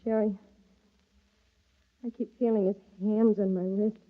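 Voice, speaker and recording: An actress playing a fragile, guilt-haunted young wife, pleading and melodramatic in old radio horror style. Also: female voice, mid-range voice, steady tone, a little slow, lightly acted. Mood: shaken. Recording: muffled old radio recording.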